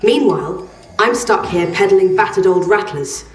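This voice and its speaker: An actress as an ambitious woman trader in a video game, grumbling and driven, with a medium-pitched voice. She sounds set back from the mic in a roomy echo. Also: animated, moderate pace, far from the mic, lightly acted. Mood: frustrated, determined. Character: ambitious, discontented female arms trader. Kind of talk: scripted video game dialogue.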